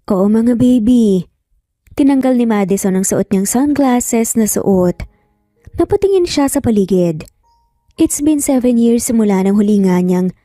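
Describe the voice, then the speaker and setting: A woman's voice: mid-range voice, warm tone, clean studio sound. A woman with a Filipino accent reading a scripted, dramatic romance story as narrator. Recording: roomy echo, faint music behind. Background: faint music.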